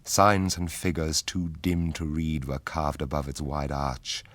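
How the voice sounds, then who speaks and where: A man with a husky voice, narrating an epic fantasy radio drama in a solemn, measured way. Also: a bass voice, flat delivery, fairly bright tone, no echo.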